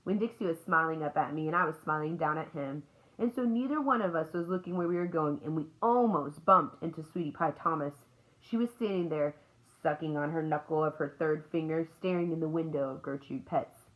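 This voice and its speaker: A woman reading a children's book aloud as an expressive storyteller. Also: low voice, a little fast, rough recording.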